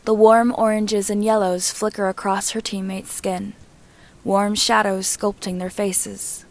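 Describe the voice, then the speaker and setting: A woman narrates prose fiction in a mid-range voice. Her reading is measured and descriptive, following a written script as in an audiobook. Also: medium pitch, little pitch movement. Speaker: reader and narrator of fan fiction.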